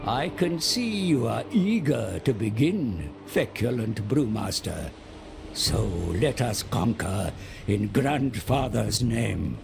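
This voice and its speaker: A man playing a sly, servile seer who guides demonic warlords, speaking in a theatrical, gravelly voice as dramatic dark fantasy game narration. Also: on the low side, exaggerated voice. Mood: sly, grandiose. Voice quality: creaky, gravelly. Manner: theatrical, deliberate, sly and flattering. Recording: music under the voice. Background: dramatic music.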